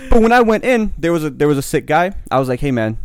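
A man's voice: a little high, sing-song, quick pace, smooth. A young man from Pakistan in casual podcast chat, rambling and slangy, often correcting himself mid-sentence.

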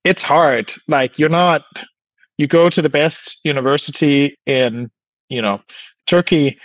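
A man's voice: a little high, a little slow, no echo, lo-fi sound. A man from Denmark explaining things informally in a conversational interview, often hedging with asides. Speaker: podcast guest, biotech co-founder and scientist.

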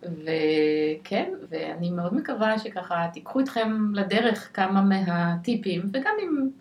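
A woman's voice: medium pitch, lively intonation. An Israeli woman hosting a podcast in a conversational interview style. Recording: echoey room, distant from microphone.

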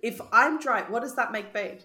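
A young woman with an Australian accent, talking bluntly and teasing her co-host in a bright, forceful voice. She swears freely. The sound is roomy, with a clear echo. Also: fairly high pitch, normal speed. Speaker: podcast co-host. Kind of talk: casual chat.

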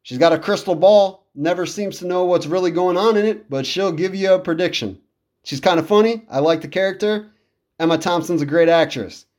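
Guy in his 30s just chatting away about stuff he likes, kinda high voice for a man, podcast host vibe, into it. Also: brisk, a little mellow. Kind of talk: casual solo commentary on films and shows.

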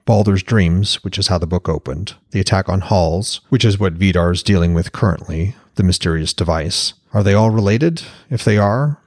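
A man's voice: deep voice, moderate pace, few pauses, darkish tone, dry recording, studio quality. A man reading his own fantasy novel as a podcast narrator, in an audiobook storytelling style.

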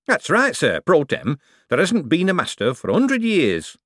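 A man's voice: a little high, huge pitch swings, moderate pace, fairly bright tone, dry recording, clean studio sound, pitched above the performer's own voice, full character voice. A man voicing a sly, working-class male mint foreman who uses broad dialect grammar and keeps saying sir. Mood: wheedling.

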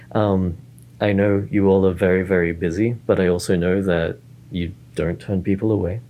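A man from student services, speaking earnestly and in a measured way in a casual interview. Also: sits low, fairly even intonation, a little mellow.

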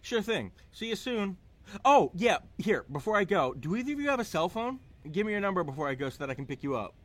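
A man playing a goofy, expressive young buddy in an audio roleplay, talking in a casual conversational way. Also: sits high, fast. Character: goofy, exuberant young male buddy driving his car.

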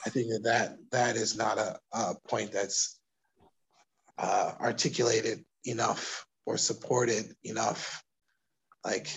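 A man from Brooklyn with a New York accent, speaking thoughtfully as an interview guest, pausing and restarting as he works through his ideas. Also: medium pitch, low-quality recording. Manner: thoughtful, hesitant, with restarts and soft laughs. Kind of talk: casual interview conversation.

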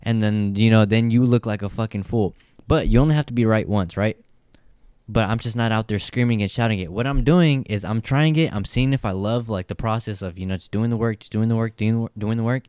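A man's voice: fairly low pitch, brisk, darkish tone, clear, resonant tone, no echo. Blunt, profane young man. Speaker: podcast co-host. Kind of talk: casual podcast chat.

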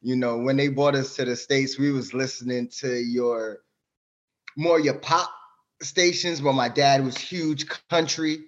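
A man's voice: mid-range voice, steady tone, measured pace. Easygoing Jamaican-born man, slightly muffled. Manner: easygoing, explains at length. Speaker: guest. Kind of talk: casual podcast chat.

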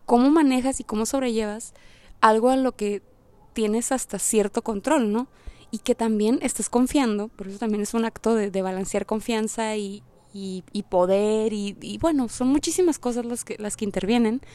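Casual woman with a mellow, higher voice. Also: normal speed, clear, resonant tone. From Puerto Rico. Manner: informal, slangy, rambling, thinking aloud. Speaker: podcast host. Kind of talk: casual monologue, reflective chat.

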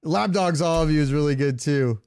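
A man's voice: on the high side, moderate pace, a little mellow. Casual, slangy man co-hosting a podcast. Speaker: podcast co-host. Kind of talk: casual gaming banter and debate.

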